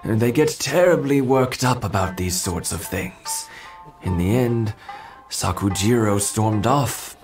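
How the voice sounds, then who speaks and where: An actor playing a gentle elderly husband in a video game, thoughtful, nostalgic and a little hesitant. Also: male voice, fairly low pitch, slow. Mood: pensive. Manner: hesitant, reflective. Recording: music under the dialogue.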